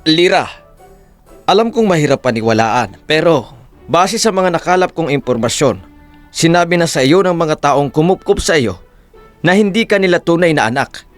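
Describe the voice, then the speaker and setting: A man's voice: fairly high pitch, expressive, normal speed, soft background music, lightly acted. A man playing an earnest, formal emissary of a rich family in an audio drama, solemn and persuasive. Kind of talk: Tagalog audio drama acting.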